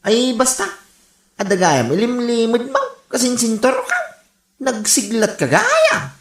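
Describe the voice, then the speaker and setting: A woman's voice: on the high side, huge pitch swings, measured pace. A woman with an Ilocano accent playing a gossipy, teasing, cackling cousin in a comedy radio drama. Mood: gleeful teasing. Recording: roomy, echoing radio drama sound.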